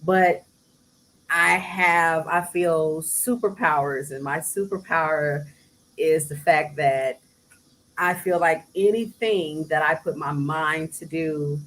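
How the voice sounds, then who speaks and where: A woman who sings, talking casually as an interview guest, soft-spoken with bursts of laughter. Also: slow pace, a little hiss or hum, rough recording. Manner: soft-spoken, breaks into laughter and high mimicry. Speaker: singer guest.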